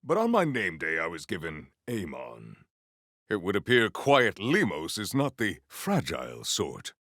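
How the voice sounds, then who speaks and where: An actor playing a proud, honorable warrior in a video game, speaking in a stately, theatrical, formal way. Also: male voice, low-pitched, huge pitch swings, unhurried, fairly bright tone, dry recording, studio quality, exaggerated voice. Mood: stoic, formal. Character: proud, honorable, formal warrior, adult man, earnest and grandiose. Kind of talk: video game character voice lines.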